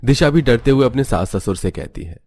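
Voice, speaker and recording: A man's voice: mid-range voice, animated, a little fast, a little mellow. A man narrating a cartoon family story from a script.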